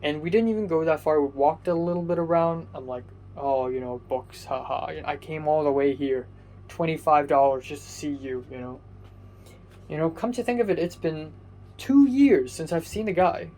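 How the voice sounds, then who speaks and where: A man's voice: a little high, moderate pace, darkish tone. A young man from Hong Kong whose first language is Cantonese, casually telling a rambling story with many fillers, restarts and casual swearing. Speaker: vlogger.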